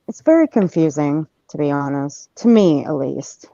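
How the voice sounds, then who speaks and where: A woman's voice: low-pitched, animated, normal speed, creaky, mellow, no echo. A woman chatting casually as a panelist on a true-crime livestream, over dull online call audio. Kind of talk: casual group livestream chat.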